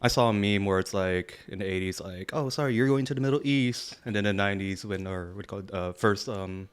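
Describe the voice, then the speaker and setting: A young man co-hosting a podcast, talking in a relaxed, slangy way while sounding earnest about his views. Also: on the low side, quick pace, clean tone. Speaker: podcast co-host. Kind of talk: casual chat.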